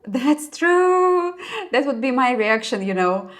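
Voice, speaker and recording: A young Russian woman with a Russian accent, speaking English as a second language and chatting casually as she reacts to a video, with many fillers and pauses. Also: high-pitched, sing-song, fairly bright tone.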